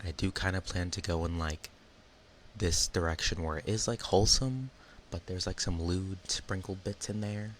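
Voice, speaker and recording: A man talking casually and a little tentatively to viewers as an ASMR creator. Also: deep voice. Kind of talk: casual outro chat to viewers. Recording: faint background noise.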